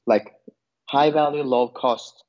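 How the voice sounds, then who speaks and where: A man's voice: mid-range voice, steady tone, takes it slowly. A man from Turkey answering interview questions about his work, thinking aloud with many fillers and restarts. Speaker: podcast guest, product manager. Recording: dull lo-fi sound.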